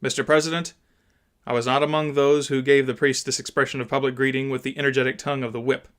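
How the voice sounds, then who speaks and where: An indignant gentleman and father, acted by a male reader in an audiobook. He has a mid-range voice and speaks with solemn, oratorical emphasis, stern throughout. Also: medium pitch, little pitch movement, fast, close to an everyday voice. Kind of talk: dramatised audiobook reading.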